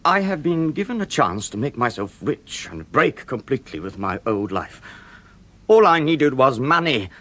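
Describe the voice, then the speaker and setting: A brooding murderer, wildly swinging voice. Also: male voice, medium pitch, sing-song, normal speed, fairly bright tone. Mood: defensive, agitated. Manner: theatrical, brooding soliloquy with sudden outbursts. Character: desperate, self-justifying male murderer, brooding and nervy. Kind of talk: radio drama acting.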